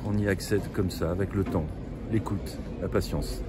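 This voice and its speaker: A man from near Brest answering a reporter's questions in a measured way, often repeating his agreement. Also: low voice, steady tone. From Brittany, near Brest. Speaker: festival visitor interviewed. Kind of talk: street interview. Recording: noisy outdoor street interview.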